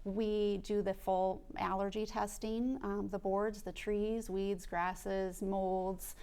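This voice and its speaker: A woman's voice: medium pitch, little pitch movement, slow pace, mellow. A woman who runs an allergy department, explaining methodically as a guest in a radio studio. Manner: clear, methodical, lists things step by step.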